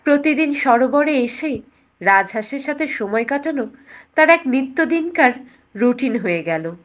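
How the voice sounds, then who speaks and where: A woman with a fairly high voice narrates a fairytale. She delivers it as a scripted bedtime story, in the role of storyteller. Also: lively intonation, normal speed.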